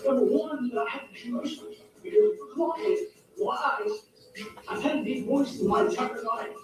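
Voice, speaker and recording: A woman's voice: high-pitched, lively intonation, moderate pace, a little mellow. A woman telling a rambling, emphatic personal story. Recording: echoey distant clip with music.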